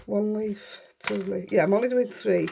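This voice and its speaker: A middle-aged woman with a Yorkshire accent, hoarse and unwell, chatting through a casual crafting tutorial in a croaky voice and rambling as she goes. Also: mid-range voice, normal speed, mellow, a little hiss or hum. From Yorkshire, England. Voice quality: croaky, rough voice. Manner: chatty, rambling, self-interrupting. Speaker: craft channel host.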